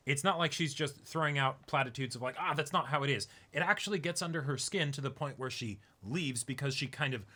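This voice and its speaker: A man with a bright, forward voice at a medium pitch talks as co-host of a reaction show. He speaks casually, working through his thoughts in unscripted discussion. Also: fairly quick, hardly any pauses.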